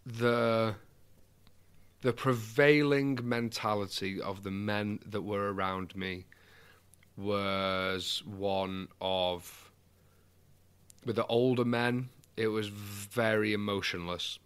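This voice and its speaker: A man's voice: fairly low pitch, steady tone, slow pace, fairly bright tone, clean tone. Man with a strong Mancunian accent. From England. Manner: candid, self-deprecating, casual swearing. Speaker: guest. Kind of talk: conversational interview.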